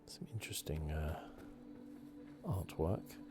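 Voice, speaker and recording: A soft-spoken man giving ASMR gaming commentary, drifting into whispers. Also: rumbling low, slow pace. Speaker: gaming channel host. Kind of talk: ASMR video game playthrough commentary.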